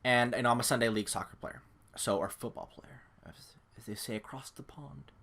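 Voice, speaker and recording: A man's voice: mid-range voice, brisk, gravelly, a bit bright. A young man from California giving casual, rambling gameplay commentary to camera at home, full of fillers and self-deprecating asides. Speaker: gaming YouTuber. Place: home room. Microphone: desk microphone.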